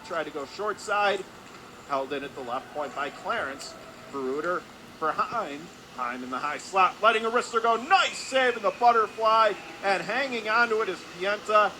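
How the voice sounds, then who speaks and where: A man calling live ice hockey play-by-play for radio in clipped phrases, getting excited on scoring chances. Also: high-pitched, moderate pace. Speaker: play-by-play announcer. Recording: clear background noise, arena ambience.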